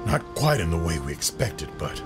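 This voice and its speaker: An actor playing a bitter, tormented villain torn between two sides in an audio drama. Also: male voice, low-pitched, big pitch swings, normal speed, loud music behind the voice. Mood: bitter, brooding.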